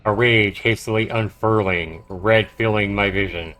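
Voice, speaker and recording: A man's voice: lowish voice, fairly even intonation, a little slow, a bit bright, faint music behind. A man livestreaming a horror visual novel, reading it aloud dramatically and chatting casually about it. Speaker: livestreamer playing and narrating a game.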